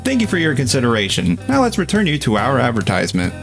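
Man playing corporate disclaimer announcer, deadpan formality, widely varied intonation, guitar music behind. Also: medium pitch, fast talker, creaky. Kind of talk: scripted parody ad disclaimer.

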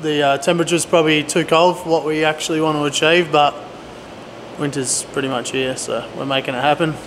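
A man's voice: fairly high pitch, fairly even intonation, brisk, fairly bright tone. A middle-aged man vlogging casually in a laid-back, slangy way, talking to a handheld camera. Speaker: vlogger, DIY builder. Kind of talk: casual handheld vlog talk. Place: large indoor pole barn workshop. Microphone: camera mic at arm's length. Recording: echoey barn with clear background noise.

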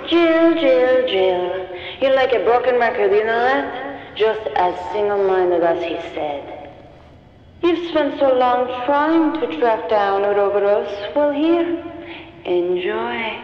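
An actress playing a haughty, scheming rich villainess with a mocking, theatrical manner in a game. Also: female voice, on the high side, expressive, slow pace, highs cut off. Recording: echoey reverberant hall with noise.

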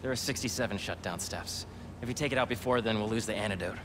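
A man's voice: lowish voice, quick pace, noisy background, close to an everyday voice. A man playing an eager but cautious young scientist sidekick in a video game, earnest and careful. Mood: focused, careful. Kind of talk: naturalistic video game voice acting.

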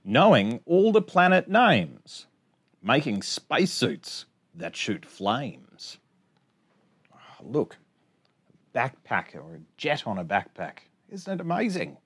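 A man in his forties hosting storytime, reading a picture book aloud to children in an expressive sing-song way with chatty asides. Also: mid-range voice, takes it slowly, breathy edge. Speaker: storytime host. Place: small indoor space among bookshelves.

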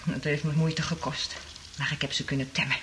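An actress in a radio drama playing a traumatised woman survivor, half-mad from isolation, speaking in an anguished, halting, dramatic way. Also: low voice, little pitch movement, a bit bright, slight background noise. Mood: haunted recounting.

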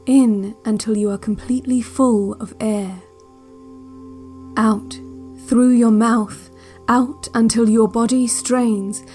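Eerie calm cassette guide, audio drama, measured hypnotic delivery, very slow pace, music underneath. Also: female voice, on the high side. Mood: calm, instructing. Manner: measured, deliberate, soothing instructions with long pauses. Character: calm, eerily watchful woman guiding a relaxation cassette. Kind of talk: slow hypnotic relaxation-tape narration in a fiction podcast.

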